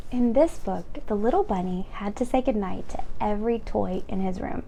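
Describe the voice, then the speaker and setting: A young adult woman hosting a children's read-aloud channel, reading a picture book in a slow, gentle, sing-song storytime way. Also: on the high side, vocal fry, a little hiss or hum, rough recording.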